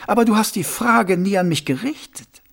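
A man voicing a suave, cool-headed male city ruler and former assassin who talks in a smooth, measured, faintly amused way, a little higher than the narration. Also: a bit bright, exaggerated voice. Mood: silky, measured.

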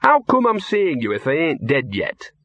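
A man's voice: fairly high pitch, wildly swooping pitch, moderate pace, a bit bright, no room sound, highs cut off, pitched above the performer's own voice, full character voice. A man voicing a small scruffy talking dog in a wry, plaintive, grumbling and colloquial way for an audiobook. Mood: perked up. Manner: colloquial, grumbling, rough-and-ready speech.

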